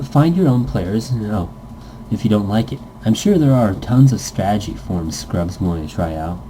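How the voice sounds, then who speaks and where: Low, very mellow man reading aloud. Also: a little hiss or hum, low-quality recording. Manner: stumbles over lines, adds goofy nonsense sounds. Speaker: let's player reading a visual novel aloud. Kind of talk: casual game read-aloud with asides.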